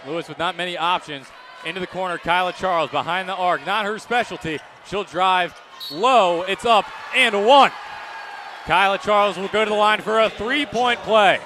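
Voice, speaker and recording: A young man calls live basketball on the radio in an excited, projected way. His voice is high and sharp, with faint arena noise and bouncing balls behind it. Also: moderate pace, a faint crowd. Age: young adult. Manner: projected, excited calling of the action. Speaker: radio play-by-play announcer. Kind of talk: live basketball play-by-play commentary. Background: court noise, bouncing balls.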